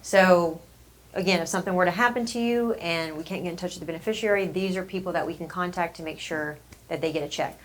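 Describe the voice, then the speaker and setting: A woman's voice: lowish voice, fast talker. A woman acting out a sales call, patiently explaining things and reassuring the client. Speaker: insurance agency manager. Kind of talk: sales role-play.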